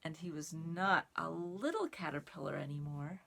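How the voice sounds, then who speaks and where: A woman reading aloud to young children in simple, expressive, word-by-word phrases. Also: low-pitched, moderate pace, creaky. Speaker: storytime reader and sign language teacher. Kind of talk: children's read-aloud and sign lesson.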